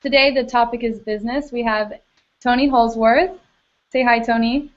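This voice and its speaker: A woman's voice: fairly high pitch, fairly even intonation, normal speed. Woman hosting over a muffled video call. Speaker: host of the student webisode.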